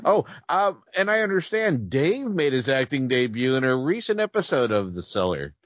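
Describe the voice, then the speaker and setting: A man's voice: mid-range voice, sing-song, moderate pace, no room sound. A man chatting casually as a podcast co-host. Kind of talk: casual chat. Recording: dry but slightly dull recording.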